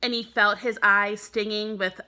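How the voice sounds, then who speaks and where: Bright-voiced woman reading a children's story. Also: medium pitch, level tone, a little slow. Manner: clear storytelling for young children. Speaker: elementary school teacher. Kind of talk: children's storybook read-aloud narration.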